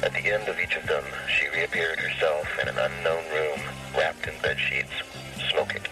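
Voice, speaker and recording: A man's voice: rumbling low, fairly even intonation, normal speed, recorded from a distance, rough recording. A man reading a literary short story aloud, away from the mic, with music underneath.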